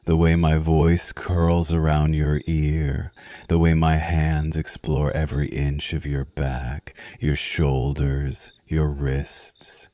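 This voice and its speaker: Man acting a seductive vampire lover, dark mellow voice, close dry sound, languid teasing murmur, ASMR roleplay. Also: rumbling low, flat delivery, takes it slowly, no room sound. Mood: teasing, intimate. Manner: languid, teasing, purring endearments.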